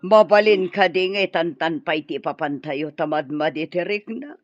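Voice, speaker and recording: A woman's voice: fairly low pitch, normal speed, no echo, lo-fi sound, faint music behind, close to an everyday voice. A woman with a Filipino accent playing an older, ailing, meddling mother in an Ilocano radio drama. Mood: plaintive.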